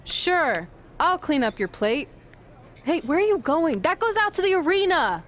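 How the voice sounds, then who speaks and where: A woman's voice: high voice, big pitch swings, a little slow, fairly bright tone, slight background noise, heavily acted. A woman playing a dry, teasing pro wrestler in a video game cutscene, casual and sarcastic. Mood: wry.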